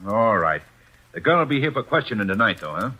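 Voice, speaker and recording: An actor playing a gruff, skeptical police inspector in a 1940s radio drama, blunt in manner. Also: male voice, fairly low pitch, big pitch swings, fairly quick, pushed and bright, full character voice.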